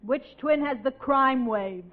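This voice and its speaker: A woman's voice: high-pitched, slow pace. An actress playing a vengeful, lovesick woman ex-convict with melodramatic delivery in a 1940s radio comedy spoof. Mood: vengeful, dramatic. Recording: muffled, noisy old radio recording.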